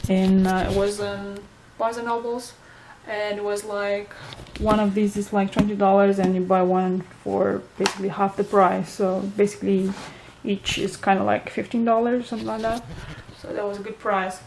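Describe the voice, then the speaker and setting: A young woman with a Romanian accent, a native Romanian speaker, chatting casually in a home vlog and often pausing to search for words. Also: mid-range voice, level tone, noisy background. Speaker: vlogger.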